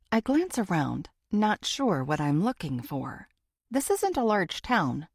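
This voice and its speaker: Lower-voiced woman narrating a thriller, very animated. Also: gravelly, no echo, studio quality. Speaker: audiobook narrator. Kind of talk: first-person thriller narration, scripted.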